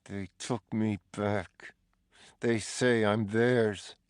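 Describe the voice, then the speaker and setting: A man voicing a battered, bitter male prisoner who accuses slowly and breaks into anguished outbursts, for an audiobook. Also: fairly low pitch, steady tone, clear, resonant tone, no echo, studio quality, voice pushed up. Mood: bitter, reproachful. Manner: slow, drawn-out accusations rising to anguished outbursts.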